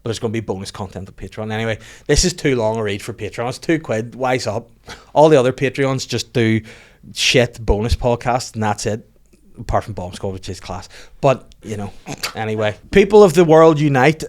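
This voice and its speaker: A man's voice: medium pitch, lively intonation, a little fast, a little mellow. A man with a Northern Irish accent, a comedian chatting on a podcast in a rambling, profane, joking way. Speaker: comedian guest. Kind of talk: casual podcast chat.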